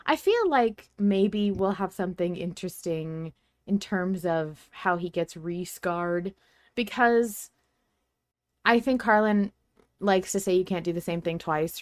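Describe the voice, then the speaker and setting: A woman's voice: mid-range voice, no echo. Enthusiastic woman hosting a podcast, dry close sound. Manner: enthusiastic, playful. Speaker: podcast host. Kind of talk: casual podcast chat.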